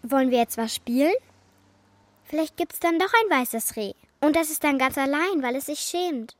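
A woman's voice: high-pitched, animated, normal speed, no echo. A woman playing an imaginative, doubting young girl in a children's radio play, with a childlike, matter-of-fact manner. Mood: playful.

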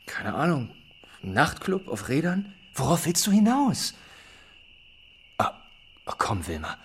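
A man acting a middle-aged husband and father in a radio play, weary and teasing but blunt and quick to take charge. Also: a little high, huge pitch swings, slightly rough, exaggerated voice. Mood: coaxing, weary.

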